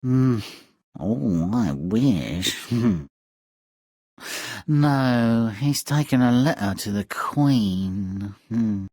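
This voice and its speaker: A man's voice: fairly low pitch, expressive, extremely slow, warm tone, no echo, studio quality, exaggerated voice. A man voicing a sly, leering old woman of a robbers' den in a fairy tale game, drawling suggestively. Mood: lecherous.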